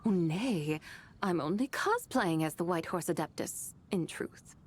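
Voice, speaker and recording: Proud ancient female spirit, slightly low pitch, lofty, mischievous, archaic, game dialogue. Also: expressive, unhurried, a little hiss or hum. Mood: showy, teasing. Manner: grandiose, archaic phrasing, theatrical. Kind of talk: video game character dialogue. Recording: game music behind the voice.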